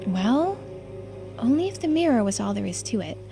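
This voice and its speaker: A woman's voice: a little high, very animated, moderate pace, gravelly, voice pushed up. A woman voicing a watchful, serious video game companion who gives analytical warnings in an urgent manner. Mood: analytical, cautious. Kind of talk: video game character dialogue read-aloud. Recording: music behind the voice.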